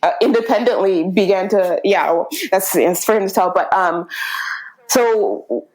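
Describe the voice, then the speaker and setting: A woman from northern California talks casually as a podcast guest, with a voice of ordinary, middle pitch. She speaks in loose, conversational bursts, restarting her sentences and laughing now and then as she goes. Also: expressive, measured pace, a little mellow, rough recording. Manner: frequent restarts, laughs while talking.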